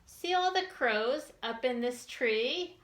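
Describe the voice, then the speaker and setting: A woman's voice: high voice, lively intonation, slow, fairly bright tone, slight background noise. A woman hosting a storytime for young children, reading aloud in simple phrases and putting questions and asides to her little listeners. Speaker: children's librarian storytime host.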